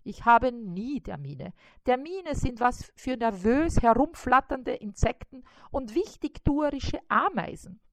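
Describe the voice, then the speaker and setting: A woman voicing a grumpy, lazy male spider who grumbles slowly and wearily. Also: high-pitched, lively intonation, fairly bright tone, dry recording, voice pushed up, exaggerated voice. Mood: gruff. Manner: grumbling, slow and weary.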